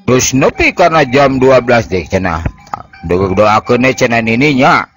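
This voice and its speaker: Animated man telling a folk tale. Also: mid-range voice, moderate pace, soft background music. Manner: animated, chuckling storytelling. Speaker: storyteller. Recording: noisy home recording.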